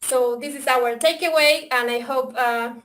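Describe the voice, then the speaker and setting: Argentinian woman, pretty high voice, webinar talk over a video call, lots of uhs and restarts. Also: measured pace, fairly bright tone. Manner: hesitant, many fillers and restarts. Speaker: software developer presenting. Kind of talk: webinar presentation.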